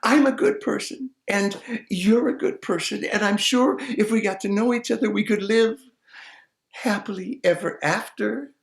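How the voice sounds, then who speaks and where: A man voicing a lonely, hopeful young fair maiden in a high, pleading voice, in an echoey room. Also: moderate pace, vocal fry. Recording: roomy echo.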